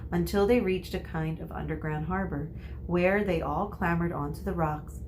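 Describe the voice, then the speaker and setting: Lowish-voiced woman reading a story aloud. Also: steady tone, normal speed, darkish tone, a little hiss or hum, poor audio.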